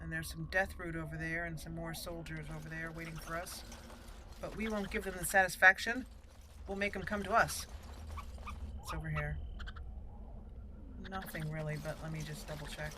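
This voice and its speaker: A woman hosting a gaming channel, chatting excitedly through her gameplay and cheering on the characters. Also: sits low, moderate pace, long pauses. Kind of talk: casual let's-play commentary. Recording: noisy background.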